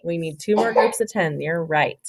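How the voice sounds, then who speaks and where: A woman's voice: on the low side, moderate pace, very noisy. A woman kindergarten teacher reading a picture book aloud, prompting young children with counting questions and praise. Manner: prompting, instructive, praising pupils.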